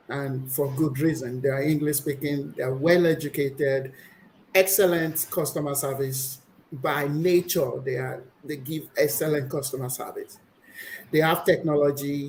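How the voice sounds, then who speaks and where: A woman's voice: low voice, a little mellow, slight background noise, rough recording. Opinionated woman guest. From Nigeria. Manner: opinionated, explanatory, with frequent restarts. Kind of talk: conversational interview.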